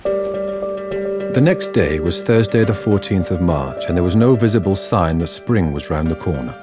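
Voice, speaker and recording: A man's voice: deep voice, very animated, unhurried. A man giving scripted narration for a radio drama. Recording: music bed under the voice.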